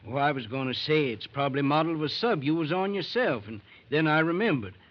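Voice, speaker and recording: A man playing a folksy country farmer with a highish, animated voice and hesitant, homespun phrasing. He is an anxious, plain-spoken father in an old-time radio drama, on a dull, muffled recording. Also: fast talker, natural voice. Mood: folksy, fatherly.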